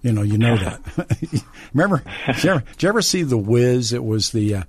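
A man's voice: medium pitch, animated, a little fast, breathy edge. A man hosting a radio call-in show, talking casually and repeating phrases for emphasis. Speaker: radio talk show host.

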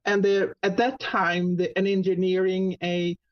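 A woman from Sweden with a Swedish accent, a guest telling her career story in a talk show interview, pausing often with ums and restarts. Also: medium pitch, flat delivery, muffled recording. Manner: halting, many ums and restarts. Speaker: guest, marketing business owner.

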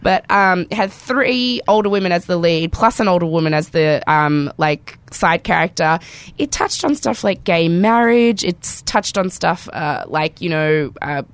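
A woman with an Australian accent hosting a casual podcast chat, her voice hoarse and croaky from a cold, chatty and enthusiastic. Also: fairly low pitch, lively intonation, unhurried, no echo. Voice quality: croaky, voice cracking. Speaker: podcast host. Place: podcast studio.

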